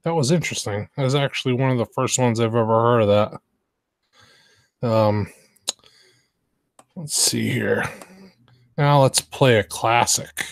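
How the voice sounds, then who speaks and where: A man talks casually as a show host. His pace is slow and his pitch is average, with a dry, close sound. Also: creaky, no room sound. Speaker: podcast host. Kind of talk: casual chat.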